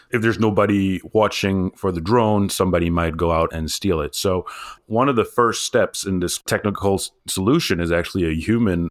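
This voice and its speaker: A man's voice: low-pitched, no room sound, clean studio sound. A man who co-founded a drone startup, talking loosely about his work with frequent "uh"s and restarts. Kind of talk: casual interview talk.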